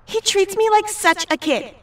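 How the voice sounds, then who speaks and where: A woman's voice: very high-pitched, lively intonation, moderate pace, a bit bright, recorded from a distance. A woman playing a petulant, emotional teenage superhero girl with a sulky, informal manner. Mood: indignant sulk.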